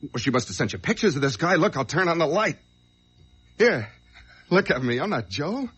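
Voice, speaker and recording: An actor playing a nervous, evasive truck driver in an old-time radio drama, pleading in shifty, slangy talk. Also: male voice, a little high, big pitch swings, moderate pace, fairly bright tone, husky, full character voice. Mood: desperate, pleading. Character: nervous, evasive truck driver cornered by a gun, narrating his tale.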